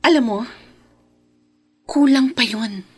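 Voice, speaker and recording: A woman's voice: fairly high pitch, slow pace, slightly rough, faint music behind. Wealthy, vengeful woman in radio drama. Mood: smug, bitter. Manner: mixes English phrases into Tagalog. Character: wealthy, vengeful, self-assured young woman back home. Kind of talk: Philippine radio drama acting.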